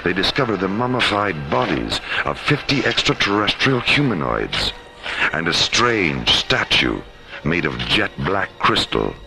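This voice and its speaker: Deep, creaky ominous sci-fi narrator. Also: male voice, very animated, exaggerated voice, poor audio. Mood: grave, suspenseful. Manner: grave, portentous, drawn-out phrasing. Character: solemn, ominous science fiction radio narrator. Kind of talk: dramatic scripted radio narration.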